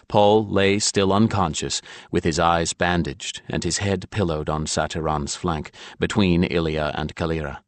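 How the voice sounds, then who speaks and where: Male audiobook narrator, low voice, scripted fantasy storytelling, close dry recording. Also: steady tone, measured pace, few pauses, dry recording.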